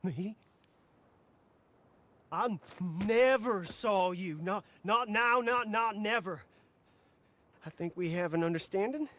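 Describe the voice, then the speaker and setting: A man's voice: sits high, slow, pauses often, a bit bright. An actor playing a nervous, stammering townsman in an Old West video game. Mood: frightened. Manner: jittery, stammering. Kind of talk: Western video game acting.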